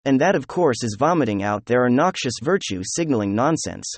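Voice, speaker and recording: A man's voice: mid-range voice, animated, brisk, no echo. A man delivering opinionated news commentary in a sarcastic, mocking manner. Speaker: political commentator.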